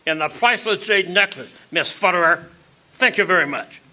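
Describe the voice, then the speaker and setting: An actor playing a menacing, gloating crook with a gun in a melodramatic radio play. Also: male voice, sits high, bright, forceful tone. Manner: slow, gloating, taunting. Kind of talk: melodramatic radio drama villain.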